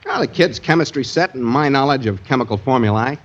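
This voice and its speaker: An actor playing a cocky, pompous pal who poses as an expert chemist in a 1940s radio comedy. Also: male voice, medium pitch, expressive, brisk, natural voice. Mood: smugly confident. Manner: pompous, lofty mock-learned talk. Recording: dull, faintly noisy vintage radio recording.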